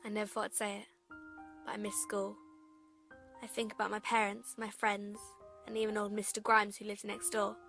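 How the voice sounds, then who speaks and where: A woman's voice: medium pitch, monotone, normal speed, vocal fry. A young woman playing a frightened, lonely schoolgirl survivor, narrating an audio drama in an anxious, confiding way. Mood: wistful, anxious. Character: frightened lonely schoolgirl trapped at home in apocalypse. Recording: voice over loud music bed. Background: music.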